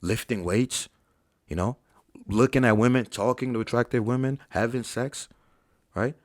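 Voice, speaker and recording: A man's voice: medium pitch, moderate pace, no echo, clean studio sound. A young man hosting a fitness Q&A, bluntly and profanely debunking claims with an exasperated tone. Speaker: fitness channel host. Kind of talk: live Q&A, reading chat questions.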